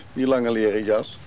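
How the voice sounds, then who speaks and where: Gruff guarded guy on an old boat, radio play, brusque everyday talk, bit of noise. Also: mid-range voice, darkish tone. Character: gruff, guarded man living on his leaky old boat. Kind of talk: naturalistic radio drama acting.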